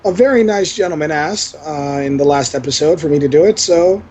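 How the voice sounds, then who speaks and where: A man with a raspy voice on a podcast, talking in a laid-back, apologetic way. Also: a little high, fairly quick, darkish tone.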